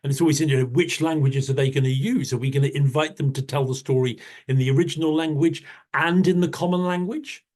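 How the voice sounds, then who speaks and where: A man leads an online storytelling workshop, talking in a warm, thoughtful way and often restarting his sentences over a video call. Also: fairly high pitch, fairly even intonation, fairly quick.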